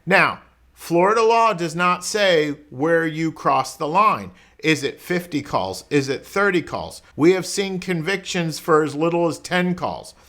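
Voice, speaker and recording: A man's voice: a little high, animated, measured pace, a bit bright. A man speaking as a lawyer in an informal explainer, conversational and colloquial, often posing rhetorical questions. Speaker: criminal defense lawyer explaining law. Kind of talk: informal explainer talk to camera.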